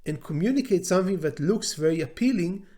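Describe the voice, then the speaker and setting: A man whose first language is Hebrew, teaching a class in a measured, deliberate way, pausing often and repeating words as he thinks. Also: high-pitched, animated, dark tone. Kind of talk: recorded lecture to students.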